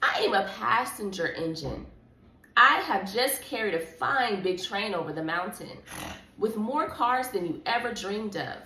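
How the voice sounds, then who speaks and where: A woman's voice: mid-range voice, animated, unhurried, bright, forceful tone. A woman voicing a pompous, boastful male passenger engine from a children's storybook, full of scorn. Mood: haughty, scornful. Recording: echoey room with background noise.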